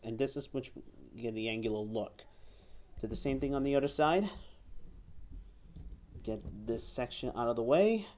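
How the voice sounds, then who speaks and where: A young man giving step-by-step hands-on instructions as a toy reviewer, haltingly, often repeating and correcting himself. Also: medium pitch, warm tone, slight background noise. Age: young adult. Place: small room at home, indoors.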